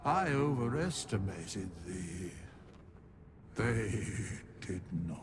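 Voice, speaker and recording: An actor playing a stern, scornful ancient godlike being in a video game, declaiming grave archaic words with a gravelly, breathy voice. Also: male voice, on the low side, sing-song, drawn-out words, background noise, exaggerated voice. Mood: grave contempt. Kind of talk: theatrical video game acting.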